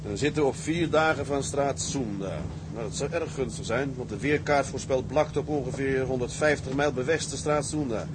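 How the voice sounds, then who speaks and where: Radio-drama sea captain, male actor: level-headed and decisive, medium-pitched, giving orders over clear engine and sea noise. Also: moderate pace, few pauses, lightly acted. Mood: briefing, authoritative. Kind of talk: Dutch radio drama acting.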